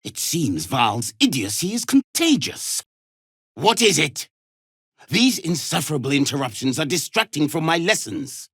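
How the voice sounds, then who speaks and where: An actor playing a harsh, imperious alien warrior instructor in a video game, scornful and given to clipped commands. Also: male voice, fairly high pitch, sing-song, measured pace, a bit bright, husky, dry recording, clean studio sound, full character voice. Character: harsh, contemptuous alien warrior instructor drilling young recruits. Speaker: combat trainer. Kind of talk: video game character acting.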